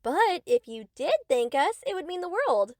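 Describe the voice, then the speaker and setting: A high-voiced, swooping young yandere acted out by a woman speaks straight to the listener in an audio roleplay. She is doting and boastful, cheerfully obsessed and possessive, with a close, dry sound. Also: big pitch swings, normal speed, dry recording. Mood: smugly reassuring. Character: gushing, possessive yandere best friend, young woman painter. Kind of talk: scripted ASMR roleplay, addressing the listener.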